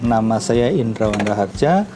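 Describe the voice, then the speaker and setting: A man's voice: fairly low pitch, lively intonation, moderate pace, noisy background. A man in his fifties giving casual interview answers, repeating phrases as he gathers his thoughts. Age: 50s. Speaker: interviewee, father of two.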